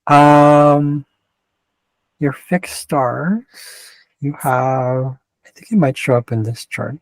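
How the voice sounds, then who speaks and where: A man's voice: mid-range voice, fairly even intonation, slow pace, no echo, low-quality recording. A man with a mixed Canadian and West Coast American accent giving a casual astrology chart reading, rambling and often interrupting himself. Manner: rambling, self-interrupting, digressive.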